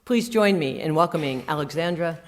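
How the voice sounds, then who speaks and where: A woman hosting an event, reading a formal scripted introduction of a speaker in a measured way. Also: low voice, animated, heavy background noise.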